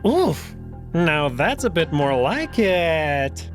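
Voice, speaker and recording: A man's voice: fairly high pitch, sing-song, drawn-out words, music in the background, pitched above the performer's own voice, exaggerated voice. A man voicing a big, smug male jackal in a visual novel, amused, taunting and fully in control.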